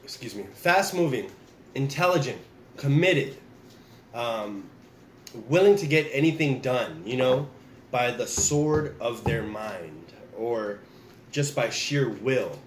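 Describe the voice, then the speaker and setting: A man's voice: mid-range voice, slow, roomy sound, slight background noise. A man giving a casual tarot reading, talking straight to his viewers while getting over the flu. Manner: relaxed, repeats and restarts, addresses viewers directly. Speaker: tarot reader.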